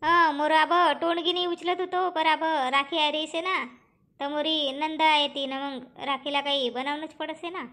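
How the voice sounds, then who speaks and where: A woman acts out a cartoon village farm woman with an extremely high, piercingly bright voice. She is talkative and plaintive, haggling in a rural Powari accent. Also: steady tone, measured pace. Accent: Powari, eastern Maharashtra and Balaghat region. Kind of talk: cartoon comedy dialogue.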